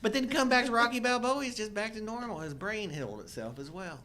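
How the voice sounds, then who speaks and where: Middle-aged man, lively swooping pitch. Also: quick pace, a crowd in the background. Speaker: co-host of a live chat show. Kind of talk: casual movie chat with joking commentary. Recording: low rumble and background noise.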